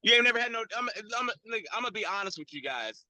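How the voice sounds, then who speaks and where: A man with a high, bright voice tells a personal story over a video call. His pitch swings widely as he defends himself and laughs along with the others. His voice is dry and close to the mic. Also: big pitch swings, quick pace, no echo. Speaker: guest.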